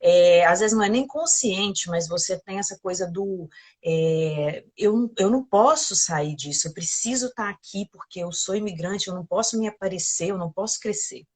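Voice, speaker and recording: A woman hosting a live interview, introducing her guest warmly and asking encouraging questions. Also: mid-range voice, moderate pace, husky. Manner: enthusiastic, encouraging, laughs often. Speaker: host.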